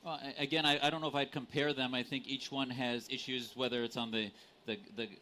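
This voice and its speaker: A man's voice: mid-range voice, level tone, fairly quick, bright, forceful tone, a little hiss or hum. A man from the United States in a live panel debate, speaking earnestly and insistently with formal vocabulary. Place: conference stage.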